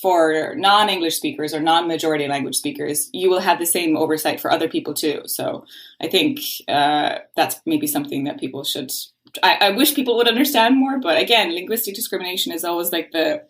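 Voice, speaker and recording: This is a low-pitched Irish woman speaking as a podcast guest. She talks in long, earnest explanations in a casual tone. Now and then she lets frustration show. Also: brisk. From Ireland. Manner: voices frustration. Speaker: podcast guest, law researcher. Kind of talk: casual interview talk.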